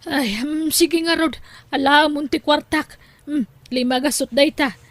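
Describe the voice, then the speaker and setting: A woman as a high-pitched scolding Ilocano mother. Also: fairly even intonation, a little slow. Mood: grumbling, fond. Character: scolding, nagging Ilocano wife and mother, demanding but doting. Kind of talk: Ilocano radio-style drama acting.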